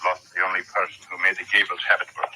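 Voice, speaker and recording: An actor playing a proud, defiant young schoolteacher who speaks coldly and formally in an old radio drama. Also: male voice, low-pitched, completely flat delivery, very fast, natural voice. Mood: cold defiance. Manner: clipped, formal, insolent. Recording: thin, noisy vintage radio recording.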